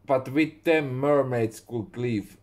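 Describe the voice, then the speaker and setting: Slow halting Finnish-accented man reading. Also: mid-range voice, a little mellow. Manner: halting, rereads and corrects his words. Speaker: author reading his own book. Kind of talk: slow reading of a story aloud. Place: small room indoors at home.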